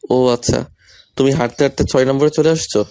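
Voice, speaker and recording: A man's voice: mid-range voice, steady tone, brisk, dark tone, clear, resonant tone. A young man reading a scripted first-person romantic story, voicing the male lead's lines as well. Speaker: story narrator and male lead.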